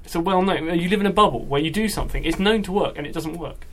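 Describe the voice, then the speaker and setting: A man's voice: a little high, quick pace, fairly bright tone. A man hosting a casual, bantering chat with wry, dry humour. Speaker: show host.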